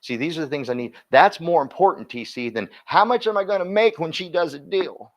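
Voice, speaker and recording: A man coaching a live workshop audience, energetic and folksy, constantly quizzing the room and drawing answers out of people. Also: fairly high pitch, fairly quick. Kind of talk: interactive seminar teaching.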